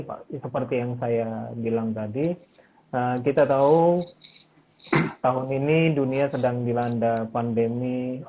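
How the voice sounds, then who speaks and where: A husky man interviews a guest politely, often addressing him respectfully and filling pauses with small hesitations. His voice comes through a thin, phone-like connection. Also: mid-range voice. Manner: polite, says "Pak", many fillers. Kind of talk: casual interview. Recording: tinny phone-like audio.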